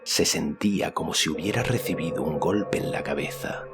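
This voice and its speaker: Deep, gravelly man narrating fantasy. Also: moderate pace. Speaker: audiobook narrator. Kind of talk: dramatic scripted narration of a fantasy novel. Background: loud music.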